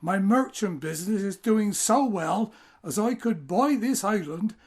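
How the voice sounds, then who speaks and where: A man's voice: high-pitched, animated, measured pace, slightly rough, heavily acted. Actor as a hearty old country merchant father. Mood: fond, jovial. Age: older. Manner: folksy, hearty country speech. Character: hearty, well-off older island merchant, doting father. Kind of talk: audio drama dialogue.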